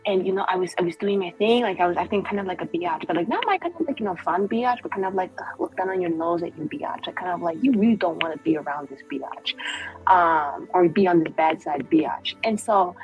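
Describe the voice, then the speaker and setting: A woman's voice: on the low side, a little fast, dull audio, soft background music, pitched below the performer's own voice, natural voice. Sassy, mischievous young-sounding rogue played by a woman. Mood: gossipy. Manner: rambling, teasing, slangy. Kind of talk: improvised tabletop roleplay dialogue.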